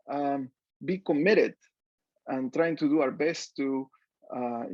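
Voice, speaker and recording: Man, 40s, Peruvian Spanish accent, husky, dry close sound, earnest panel speaker. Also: medium pitch, a little mellow, no echo, highs cut off. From Peru. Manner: earnest, reflective, frequent fillers and restarts. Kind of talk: panel talk.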